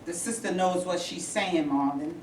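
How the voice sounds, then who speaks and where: A woman's voice: fairly low pitch, moderate pace, natural voice. A woman in a staged reading playing an impatient, exasperated civil-rights reverend. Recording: echoing room, distant mic, noise.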